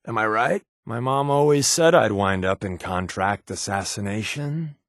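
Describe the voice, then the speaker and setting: An actor voicing a sly, sardonic undercover agent as a video game companion, glib and conversational with dry sarcasm. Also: male voice, fairly low pitch, expressive, normal speed, no echo. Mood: breezy, joking.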